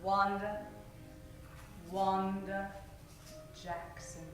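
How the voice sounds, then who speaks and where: A woman's voice: medium pitch, level tone, very slow. A woman acting a sly, sultry femme fatale with a coolly teasing manner in a noir radio parody. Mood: guarded, sly. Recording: echoey, distant live stage recording. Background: faint music.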